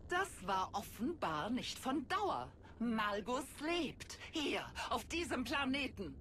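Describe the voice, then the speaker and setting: An actress playing a haughty, sardonic villainess in a game, speaking in a theatrical, condescending way. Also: female voice, mid-range voice, fairly bright tone, slight background noise. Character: haughty, sardonic female Sith villain, wounded but scornful. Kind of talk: dramatic game voice acting.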